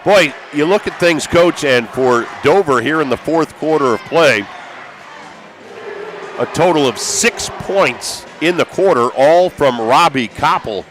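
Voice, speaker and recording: An American man doing live basketball play-by-play as a broadcaster, excitable and raising his voice with the action. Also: fairly high pitch, a little slow. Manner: excitable, calls out action with raised voice. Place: courtside in high school gymnasium. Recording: live gym broadcast with background noise.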